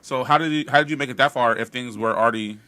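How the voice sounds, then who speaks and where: Man, podcast co-host, playful, teasing, interrupting, bright voice. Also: medium pitch, fast. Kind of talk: casual chat.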